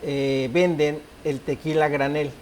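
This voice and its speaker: A Mexican man with a Mexican Spanish accent takes part as a guest on a talk show. He explains things calmly in a fairly high voice, with faint noise underneath. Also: a little slow. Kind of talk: conversational interview.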